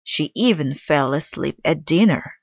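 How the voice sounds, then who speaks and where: A woman narrating a children's storybook aloud in an expressive storytelling style. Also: deep voice, a little slow, no echo, dull audio.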